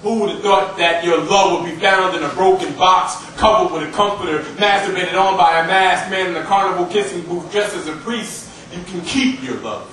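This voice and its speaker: A man's voice: sits high, fairly even intonation, moderate pace, hardly any pauses, fairly bright tone. A young man performing spoken-word slam poetry in an impassioned, dramatic way with emphatic phrasing. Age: young adult. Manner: impassioned, dramatic delivery with emphatic repetition and alliteration. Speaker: slam poet. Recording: roomy, noisy live recording from far off.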